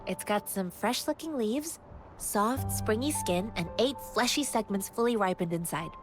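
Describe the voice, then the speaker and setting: A young woman wandering martial artist from a video game, played by an actress, earnest and kind. She speaks in a mid-range voice with polite, measured sincerity, over clearly audible music. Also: mid-range voice, expressive, lightly acted. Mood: calm, thoughtful.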